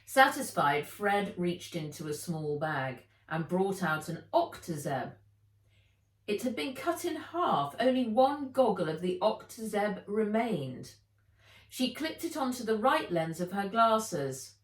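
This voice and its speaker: A woman reading a children's adventure story aloud as its author, with expressive, theatrical delivery. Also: medium pitch, slow pace. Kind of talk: dramatised audiobook narration. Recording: roomy, echoey, distant microphone.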